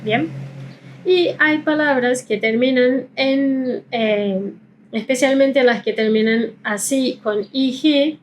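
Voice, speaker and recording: A woman's voice: high voice, a little hiss or hum, low-quality recording. Woman teaching German pronunciation. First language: not Spanish. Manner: explanatory, slows down to model sounds. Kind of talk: pronunciation lesson.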